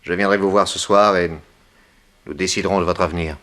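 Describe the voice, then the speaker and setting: An actor playing a brisk, commanding male private detective in a 1960s French radio crime serial. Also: deep voice, steady tone, a bit bright. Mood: brisk, protective. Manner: urgent, clipped questioning, reassuring orders.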